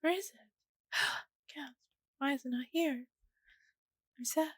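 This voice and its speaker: A woman's voice: high-pitched, slow pace, fairly bright tone, dry recording. A woman co-hosting a podcast in casual chat, with a playful, informal and exclamatory way of talking. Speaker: podcast co-host.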